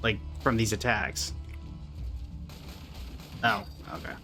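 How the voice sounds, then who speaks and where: A man's voice: fairly low pitch, slow, pushed and bright, soft background music. A man giving casual live commentary while playing a video game, with dry, muttered asides to himself and the game. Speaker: gaming streamer reacting to gameplay.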